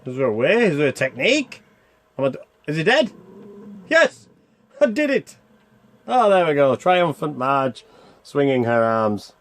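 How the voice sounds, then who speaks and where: A man's voice: fairly high pitch, very animated, unhurried, long pauses, fairly bright tone. A man giving casual, chatty commentary over gameplay, thinking aloud and asking himself questions as a reviewer. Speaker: video game reviewer.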